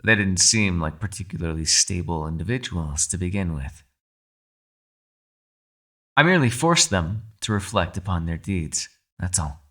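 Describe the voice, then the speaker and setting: A man performs a captive fox spirit in an ASMR roleplay, low-pitched and crisply bright. He is sly and mock-polite, taunting with theatrical, widely swooping intonation and quiet menace. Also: animated, moderate pace, full character voice. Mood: proud, cool.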